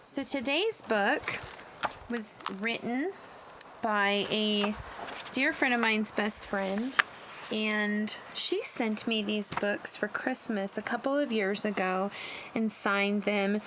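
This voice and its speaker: A woman narrator reading aloud to young children in a deliberate, expressive storytelling manner. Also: mid-range voice, noisy background. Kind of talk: expressive read-aloud of rhyming picture book.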